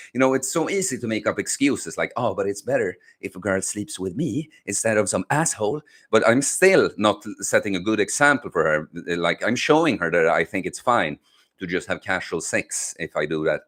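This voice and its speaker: A man's voice: lowish voice, a bit bright. A young man, a non-native English speaker whose first language is Swedish, hosting a casual livestream and answering questions from the chat, with many fillers and restarts.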